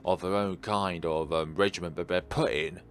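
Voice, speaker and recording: A man's voice: deep voice, fairly even intonation, moderate pace. A man giving casual, rambling and earnest commentary while playing a visual novel. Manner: rambling, earnest philosophical musing. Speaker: video game let's player.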